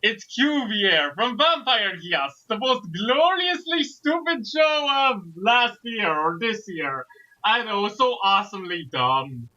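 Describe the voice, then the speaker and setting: Casting: man with high, piercingly bright voice, slangy rambling enthusiast in casual chat, lo-fi audio. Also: very animated, slow. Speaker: co-host.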